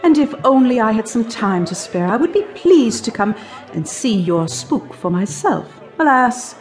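Lively, high-voiced sceptical society woman. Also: a little slow, soft background music. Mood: breezy. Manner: arch, mocking, well-spoken phrasing. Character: witty, sceptical, teasing upper-class young society woman. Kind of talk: radio drama acting.

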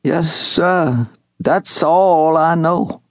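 Brief: man doing old Southern servant, loyal, humble, raised high, slow earnest drawl, storybook read. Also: no room sound, studio quality. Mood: grave. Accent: Southern US rural dialect. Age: older. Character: elderly male farm servant, loyal and humble.

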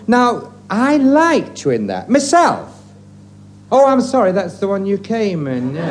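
A man playing a fussy, falsely polite female dress shop assistant in a hesitant, deferential manner in a 1950s radio sketch. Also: sits high. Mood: fussy, tactful, then politely patronising. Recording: noisy vintage radio recording, audience.

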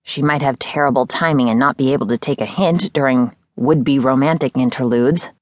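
A woman's voice: extremely low pitch, moderate pace, no echo. A woman reading a first-person novel as scripted audiobook narration.

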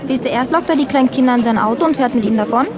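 An actress playing a lively, doting young mother with a casual, excitable manner in a children's audio drama. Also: sits high, racing, a crowd in the background, lightly acted. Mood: affectionate, casual.

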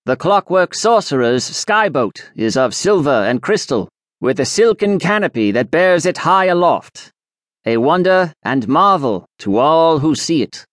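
A man's voice: a little high, very animated, measured pace, dry recording, clean studio sound, voice pushed up. A man doing a stately old alchemist sage. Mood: proclaiming. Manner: stately, ceremonial proclamation. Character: pompous male alchemist sage, formal and authoritative. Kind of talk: audiobook character voice.

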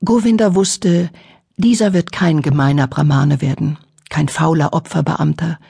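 A woman narrating a literary radio drama in a solemn, lyrical, measured manner. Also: sits low, creaky, mellow, slightly rough, dry recording, clean studio sound. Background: music.

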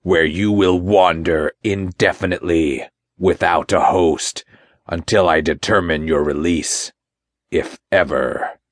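Male actor as Satan-like demon king, very low voice, dry close sound, pompous, commanding, wrathful audiobook storyteller. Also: steady tone, takes it slowly, dry recording. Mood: wrathful, grandiose. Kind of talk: dramatic first-person audiobook narration.

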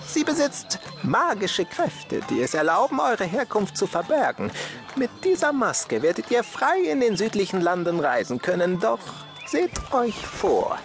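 Very high, creaky male wizard. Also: sing-song, a little slow, faint music behind, full character voice. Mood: helpful, cautioning. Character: kindly, cautioning eccentric wizard, male. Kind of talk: German fantasy audio drama acting.

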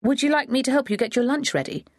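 A woman plays a polite dog owner who keeps her patience with an old neighbour in a storybook. She lifts her voice higher and louder to be heard. The read is close and dry. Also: fast, dry recording.

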